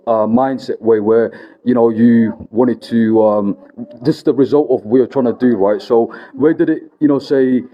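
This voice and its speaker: A man's voice: medium pitch, fairly even intonation, normal speed. Rambling male interviewer, dull lo-fi sound. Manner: rambling questions, many fillers like "you know" and "right?". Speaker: interviewer, vlog host. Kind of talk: casual interview.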